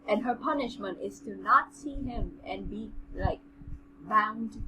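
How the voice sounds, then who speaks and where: A woman's voice: mid-range voice, slow. Woman podcast host just chatting and reading along, kinda rambly and earnest, bit of a noisy background. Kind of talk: casual chat and amateur read-aloud with commentary.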